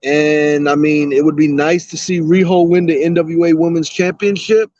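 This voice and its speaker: A man's voice: on the high side, a little mellow, dry recording, lo-fi sound. A man appearing as a guest on a podcast, giving his picks earnestly and talking at length. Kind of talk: casual chat.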